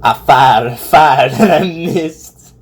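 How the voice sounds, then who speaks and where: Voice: mid-range voice, takes it slowly, soft background music. Someone giving casual, exclamatory reaction commentary as an online video host, often repeating words for emphasis.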